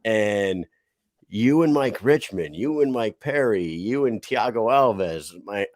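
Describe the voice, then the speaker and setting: Enthusiastic low-voiced male co-host. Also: animated, no room sound, clean studio sound. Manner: enthusiastic, halting restarts. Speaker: podcast co-host. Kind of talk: casual podcast chat.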